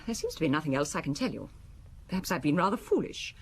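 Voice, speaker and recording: A cold proud wife in a radio play, really low voice, very expressive pitch, haughty, articulate, a bit contemptuous. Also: female voice, quick pace, a little hiss or hum. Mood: cool, defensive. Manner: composed, haughty, articulate, defensive. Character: cool, proud, faintly contemptuous married businesswoman. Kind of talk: radio drama acting.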